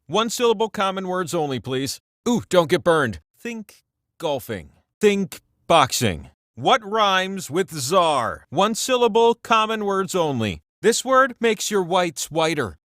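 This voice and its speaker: A man voicing a whimsical, all-knowing talking wheel that hosts a trivia video game, theatrical and playful, with drawn-out emphasis. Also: sits high, very animated, a bit bright, dry recording, studio quality, exaggerated voice. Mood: playful, teasing. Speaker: game show host. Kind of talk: scripted trivia game hosting.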